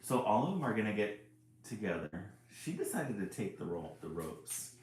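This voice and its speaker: A man's voice: lowish voice, big pitch swings, normal speed, a little mellow, reverberant, low-quality recording. A man hosting a casual read-aloud stream, chuckling and greeting viewers by name as he reads a children's book.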